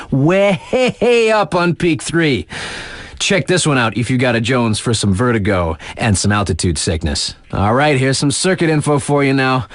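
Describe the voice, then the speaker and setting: A man's voice: mid-range voice, no echo, studio quality, lightly acted. An actor playing a laid-back, wry young male radio DJ for a snowboard game, with slangy, offhand, casual patter. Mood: upbeat, wry. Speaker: radio DJ on a ski mountain station.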